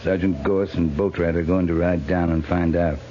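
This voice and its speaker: A noisy, narrow old 1950s radio recording carries a low-pitched cavalry captain, acted by a man. He is stern and terse, handing out his words like orders with clipped authority. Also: fairly even intonation, moderate pace, dark tone, lightly acted. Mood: firm, commanding. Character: stern, commanding male US cavalry captain on the frontier. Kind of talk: 1950s radio drama acting.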